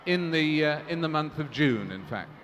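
A man's voice: on the high side, lively intonation, moderate pace, a bit bright, highs cut off. A man moderating a press conference, speaking formally and measuredly, with frequent restarts and fillers. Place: large assembly hall. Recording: reverberant hall, faint noise.

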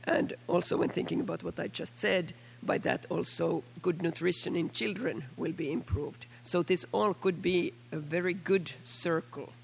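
Finnish woman MP, hoarse and gravelly, fairly high pitch but a flat monotone delivery, halting with fillers. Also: slight background noise. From Finland. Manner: halting, with many fillers and restarts. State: hoarse from a flu. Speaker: member of parliament. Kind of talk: formal floor statement at a panel meeting.

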